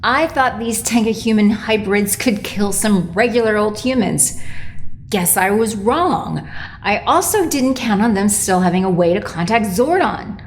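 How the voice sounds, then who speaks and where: An unrepentant evil sorceress played by a woman in an audio drama. She is commanding and unhurried at a middle pitch, with a faint hum of ambience behind her. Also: lively intonation, lightly acted. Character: impatient, imperious evil space sorceress.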